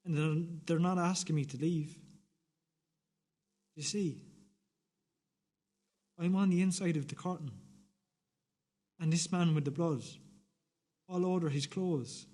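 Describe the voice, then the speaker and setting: A man acting in a radio play as an earnest, awed witness, speaking in halting, insistent repetitions. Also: on the high side, steady tone, mellow. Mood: hushed wonder. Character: earnest, awed man watching from inside a curtain. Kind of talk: radio play dramatic monologue.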